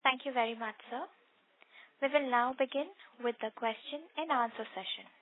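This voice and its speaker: A woman with a fairly high voice moderates as a conference call operator. She reads courteous, formal instructions, heard through a thin phone connection. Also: normal speed, no room sound. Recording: narrow phone line.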